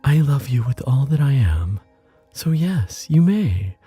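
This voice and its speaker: Gentle storybook star played by a man, curious, loving, tentative, pitched well above the narration, softly mellow. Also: big pitch swings, slow pace, breathy edge, soft background music, exaggerated voice. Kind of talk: bedtime storybook character voice.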